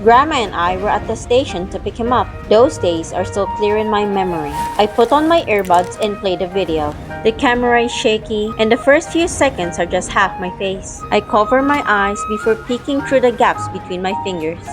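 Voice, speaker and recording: Female narrator, mid pitch, first-person audio drama with acted dialogue, music bed underneath. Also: animated, moderate pace, few pauses.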